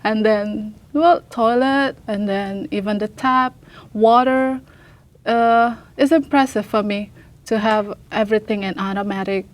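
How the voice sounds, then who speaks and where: A young woman from Indonesia, an international student answering press questions in halting English with many fillers and restarts. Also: medium pitch, fairly even intonation. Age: young adult. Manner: hesitant, many fillers, searches for words.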